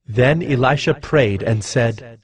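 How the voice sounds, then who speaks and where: A man narrating scripture as a scripted story in a solemn, measured manner. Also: mid-range voice, crowd noise. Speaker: Bible narrator.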